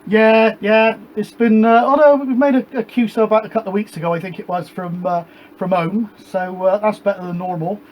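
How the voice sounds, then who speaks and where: A man's voice: high voice, fairly even intonation, moderate pace, darkish tone, low-quality recording. A British man chatting casually on a CB radio net from his home shed, rambling and handing each turn back to the others. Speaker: CB radio operator and show host. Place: home shed radio room with a gas fire.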